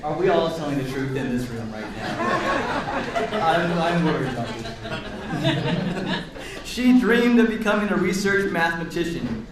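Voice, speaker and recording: A man reading a picture book aloud to children in an expressive, encouraging way, pausing to chat and ask them questions. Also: high-pitched, noisy background. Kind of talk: animated read-aloud with asides to a young audience. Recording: echoey room, distant mic, audience sounds.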